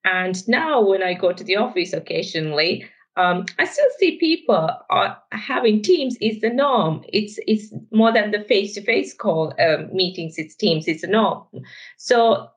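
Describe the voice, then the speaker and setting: A woman's voice: mid-range voice, expressive, unhurried. Sri Lankan-accented woman executive guest. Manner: thoughtful, frank, with hesitant fillers. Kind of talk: podcast interview.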